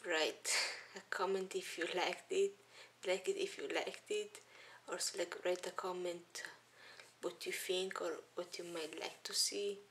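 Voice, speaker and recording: A woman's voice: on the low side, fairly even intonation, unhurried, a bit bright, a little hiss or hum. A teenage girl from Italy with an Italian accent, talking hesitantly with many ums into a webcam in a small room. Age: teens. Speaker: vlogger. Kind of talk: casual intro vlog to webcam. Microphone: webcam at arm's length.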